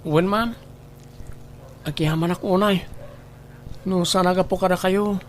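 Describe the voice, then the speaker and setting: A woman's voice: lowish voice, steady tone, unhurried, slight background noise, close to an everyday voice. A woman playing a timid, hard-working youngster in a radio drama, speaking in a deferential, pleading manner. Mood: respectful, shy. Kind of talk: Ilocano radio drama acting.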